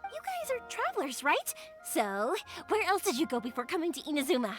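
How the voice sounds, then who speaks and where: A chatty young fireworks maker in a game, her voice high and swooping all over. She sounds bubbly and eager to please. Game music plays clearly behind her. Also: wildly swooping pitch, a little fast. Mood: cheerful. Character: cheerful young woman fireworks maker, warm and talkative.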